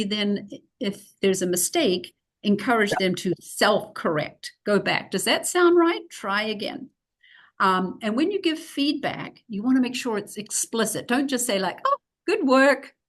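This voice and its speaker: A woman's voice: medium pitch, expressive, unhurried, a bit bright. A middle-aged woman with a New Zealand accent, explaining reading instruction for English learners in a recorded video-call lecture, practical and full of fillers. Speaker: teacher trainer.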